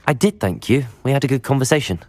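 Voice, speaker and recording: Husky, courteous young British wizard. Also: male voice, mid-range voice, animated, fast, darkish tone, no echo. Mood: polite, composed. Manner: courteous, restrained. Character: polite, composed, helpful young student wizard. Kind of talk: video game voice acting.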